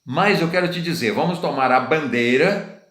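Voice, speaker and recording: A man's voice: on the high side, lively intonation, normal speed, fairly bright tone. A middle-aged Brazilian man preaching in an informal, conversational way, repeating phrases and asking his listeners rhetorical questions. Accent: Brazilian Portuguese. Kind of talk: informal sermon teaching. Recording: roomy echo.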